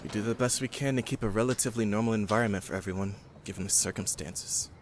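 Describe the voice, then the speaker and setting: A man's voice: fairly low pitch, quick pace, slight background noise, close to an everyday voice. An actor playing an exasperated, earnest young man in an audio drama.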